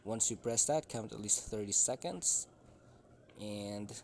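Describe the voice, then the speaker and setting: A man's voice: fairly low pitch, takes it slowly, a little mellow. Man giving tutorial steps, noisy recording. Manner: instructing in short, simple steps. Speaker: tech tutorial host. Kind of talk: casual step-by-step instructional narration. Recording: clearly noisy room ambience.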